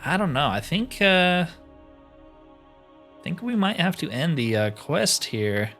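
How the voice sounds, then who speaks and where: A man's voice: fairly high pitch, big pitch swings, slow pace, fairly bright tone, soft background music. A man giving casual commentary as he plays a roleplaying video game. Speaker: gaming video host. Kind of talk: casual roleplay gameplay commentary, reading dialogue aloud.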